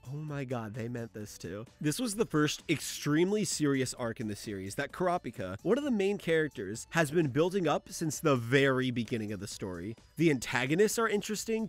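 A man's voice: on the high side, dry recording. A young man narrating a video essay as its host, informal and slangy, dropping into casual, sweary asides.

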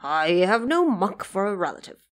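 A woman's voice: medium pitch, big pitch swings, normal speed, lightly acted. A woman voicing a dignified, resolute older prime minister, a man of formal and solemn speech, in an audio drama. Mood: dismissive.

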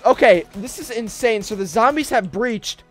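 A young American man giving excitable roleplay gameplay commentary, often repeating phrases urgently, at a desk microphone indoors. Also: sits high, moderate pace, faint music behind. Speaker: gaming video host. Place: indoor gaming room.

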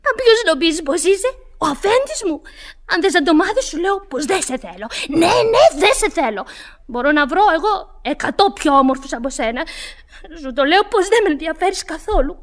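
A jealous young girl with an extremely high voice. She is fiery and insistent, scolding theatrically in the manner of radio drama. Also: sing-song. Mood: vengeful, wounded. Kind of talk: Greek radio theatre acting.

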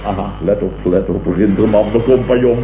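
A man's voice: on the low side, brisk, natural voice. Muffled old radio comedy: male actor as blustering, self-satisfied boss, swooping intonation, echoey room. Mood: pompous lecturing. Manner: pompous, self-satisfied, repeats himself. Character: pompous, blustering male company boss, vain and excitable. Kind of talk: 1960s Dutch radio comedy acting. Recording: muffled, hissy, echoey vintage radio recording.

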